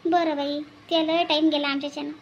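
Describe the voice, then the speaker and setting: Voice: high voice, little pitch movement, quick pace, dull audio, slight background noise. A performer voicing a grieving old village mother in a cartoon, plaintive and repetitive, with a rural Vidarbha Marathi accent. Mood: sorrowful longing. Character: grieving old village mother longing for her lost daughter. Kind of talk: melodramatic cartoon dialogue.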